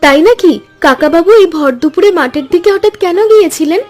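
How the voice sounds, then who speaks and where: A woman's voice: very high-pitched, a little fast, darkish tone, soft background music. A woman playing a caring, earnest village housewife in a cartoon story. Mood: curious, eager. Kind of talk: Bengali cartoon voice acting.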